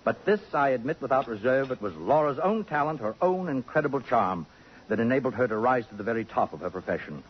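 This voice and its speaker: A man's voice: mid-range voice, animated, a little fast, close to an everyday voice. Pompous, sardonic columnist, played by a man, swooping delivery. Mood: wistful, self-important. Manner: pompous, precise, sardonic, elaborate phrasing. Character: vain, acid-tongued middle-aged male columnist, haughty and cultured. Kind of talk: 1950s radio drama acting. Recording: muffled, noisy 1950s radio broadcast.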